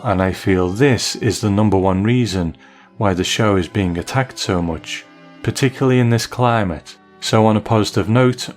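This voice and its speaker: A man hosting a podcast, reading listener feedback aloud. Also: lowish voice.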